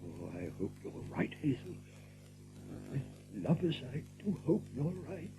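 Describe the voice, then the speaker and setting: An actor voicing an emotional warrior rabbit in an audio drama, halting and swinging from murmur to outburst. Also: male voice, fairly high pitch, very animated, noisy background. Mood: anxious.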